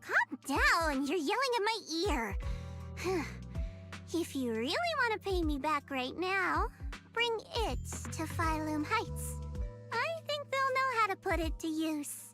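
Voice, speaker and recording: A shrewd, businesslike female game character. Her voice sits very high and swings animatedly. She sounds self-assured and teasing. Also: sing-song, slow, over music, exaggerated voice. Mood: sly, teasing. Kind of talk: scripted game voice acting.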